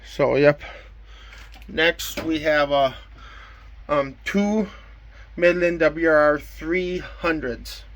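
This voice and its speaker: A man's voice: fairly high pitch, drawn-out words, long pauses, a bit bright, slight background noise. A man casually presenting and demonstrating items from his collection in a plain, matter-of-fact way. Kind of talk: casual show-and-tell demonstration.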